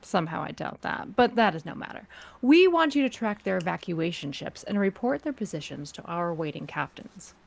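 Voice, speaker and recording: A woman playing a cool, commanding crime-syndicate agent in a script table read, speaking in a measured, formal way. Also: fairly low pitch, very animated, gravelly, heavily acted. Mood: cool, businesslike.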